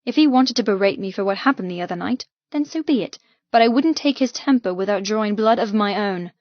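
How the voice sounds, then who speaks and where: A woman's voice: medium pitch, animated, a little fast, no room sound, muffled recording. Intense woman narrating fiction, dry sound. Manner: intense, emotive. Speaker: audiobook narrator. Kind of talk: dramatic first-person fiction narration.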